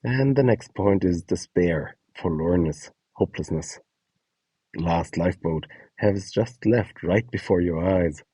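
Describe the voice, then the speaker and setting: A man's voice: deep voice, unhurried, warm tone, breathy edge, no echo. A man presenting a scripted guide, announcing prompts and describing short example scenes. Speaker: presenter of an audio donation guide.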